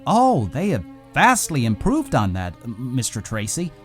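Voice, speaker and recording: A man's voice: medium pitch, huge pitch swings, unhurried, soft background music. A man playing an earnest, polite boffin engineer in an audio adventure story, eagerly explaining technical matters.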